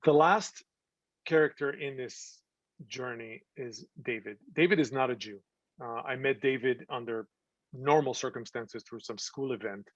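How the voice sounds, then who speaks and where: A man giving an earnest talk to an audience about his film, often pausing to find words. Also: medium pitch, dry recording. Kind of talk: presentation to an audience. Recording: slightly dull, dry sound.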